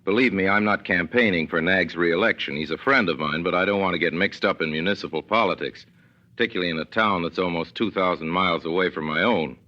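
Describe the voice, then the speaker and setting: A man's voice: low-pitched, fast, sharp tone. A man narrating a scripted 1940s radio drama in a wry, conversational, literate storytelling manner. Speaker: narrator and host. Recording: muffled 1940s radio broadcast recording.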